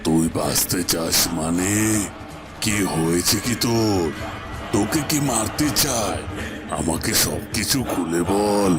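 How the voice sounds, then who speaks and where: A man's voice: deep voice, animated, slow. A man voicing a grave, authoritative tantric holy man in a cartoon, protective toward those who come to him. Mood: stern, questioning. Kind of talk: Bengali cartoon voice acting. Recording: music behind the voice. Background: background music.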